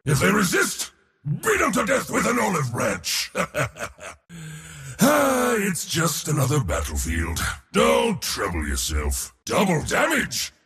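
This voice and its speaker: An actor playing an arrogant, bombastic god of war in a video game, declaiming and gloating in a booming theatrical voice. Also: male voice, wildly swooping pitch, slow pace, vocal fry, a bit bright, in a large hall, full character voice. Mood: haughty amusement. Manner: booming, declamatory, gloating, short battle cries.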